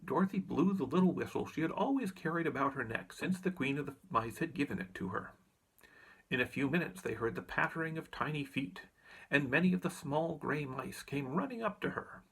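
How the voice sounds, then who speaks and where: Animated, husky male storybook reader. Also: fairly high pitch, brisk, low-quality recording. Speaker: audiobook reader.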